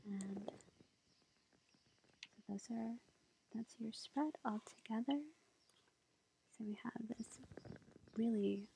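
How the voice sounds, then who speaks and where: Sweet young witch girlfriend, played by a woman for tarot ASMR: hushed, mellow, even whisper, gently reassuring, faint noise behind. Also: mid-range voice. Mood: tender, pleased. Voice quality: breathy, whispered. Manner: gentle, hesitant, reassuring, unhurried. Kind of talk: soft whispered ASMR roleplay. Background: faint ambient nature sounds.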